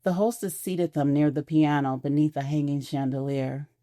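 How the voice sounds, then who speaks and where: A woman narrates a scripted romantic audio drama. Her voice is low and dark-toned, and the recording is close and dry, with no room sound. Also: steady tone, moderate pace, dry recording.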